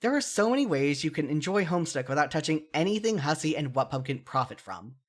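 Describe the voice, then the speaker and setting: Informal, earnest podcast host, medium pitch. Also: very animated, fast talker. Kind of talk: solo podcast commentary, partly scripted with casual asides.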